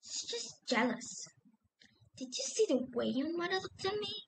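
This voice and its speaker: A woman's voice: very high-pitched, very animated, normal speed, gravelly, voice pushed up, heavily acted, low-quality recording. A woman voicing a friendly, gossipy animal schoolgirl in a cartoon audition, reassuring and confiding. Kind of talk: character audition lines.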